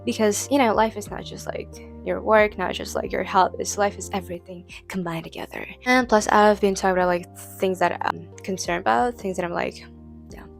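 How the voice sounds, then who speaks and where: A woman's voice: medium pitch, fairly quick, vocal fry, faint music behind. A young woman who is not a native English speaker, talking casually to camera in a vlog with lots of "like" fillers and restarts. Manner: rambling, heavy use of "like" fillers, frequent restarts. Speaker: vlogger.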